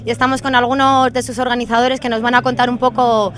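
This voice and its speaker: A woman interviewer asks her questions fast and briskly, like a presenter. Her voice is high. She speaks into a handheld mic at a venue, with faint music behind her. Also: level tone. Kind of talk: reporter questions.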